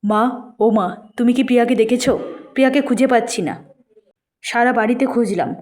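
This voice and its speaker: A woman's voice: fairly high pitch, fairly quick, a little mellow, close to an everyday voice. Woman voicing earnest, troubled young husband. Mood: concerned.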